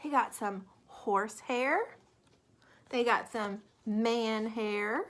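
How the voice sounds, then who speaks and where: A woman reading a children's picture book aloud in an expressive, deliberate way, with asides to young listeners. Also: a little high, slight background noise. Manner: animated, deliberate, asks the listener questions. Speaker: children's story reader.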